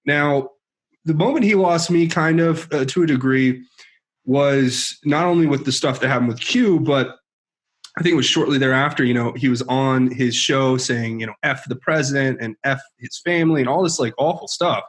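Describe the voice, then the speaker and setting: A man's voice: mid-range voice, normal speed. A man hosting an interview, asking long, hesitant questions. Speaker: host. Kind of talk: interview conversation.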